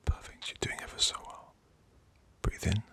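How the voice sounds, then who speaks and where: A British man doing a soft-spoken ASMR doctor roleplay, breathy and mostly whispered, with a gentle, reassuring, unhurried manner. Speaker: ASMR performer playing a doctor.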